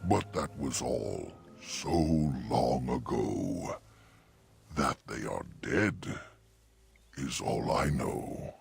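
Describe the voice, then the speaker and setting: An actor playing an ancient talking oak tree in a fantasy game, solemn and mournful, speaking in archaic rhyming verse with a gravelly voice. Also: male voice, animated, drawn-out words, full character voice. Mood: slow, wistful. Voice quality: deep, gravelly. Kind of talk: fantasy video game character acting.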